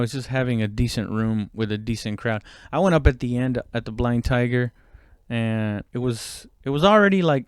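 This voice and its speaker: Comedian guy just rambling on his podcast, clear voice, dry sound, stop-start and sweary. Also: medium pitch, dry recording. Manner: informal, halting, heavy swearing. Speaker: stand-up comedian hosting own podcast. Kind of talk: casual rambling solo chat.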